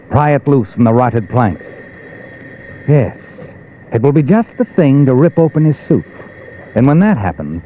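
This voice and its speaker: An actor playing an ominous, insinuating storyteller, narrating a suspense drama in 1950s radio style. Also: male voice, medium pitch, a little hiss or hum. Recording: muffled old radio with faint music.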